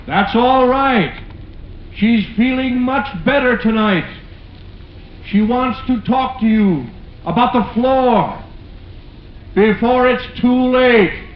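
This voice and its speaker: An actor playing a nervous, pleading, eerily obsessed widower with a halting, insinuating manner in an old-time radio suspense play. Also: male voice, extremely high pitch. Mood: calling out. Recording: muffled, noisy vintage radio recording.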